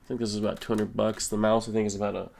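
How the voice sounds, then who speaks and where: Young male gaming creator, a bit low-pitched, nasal from a cold, laid-back chatty delivery. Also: racing, gravelly. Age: young adult. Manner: relaxed, rambling, many fillers. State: stuffy nose, a little sick. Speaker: gaming YouTuber. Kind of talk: casual Q and A voice-over.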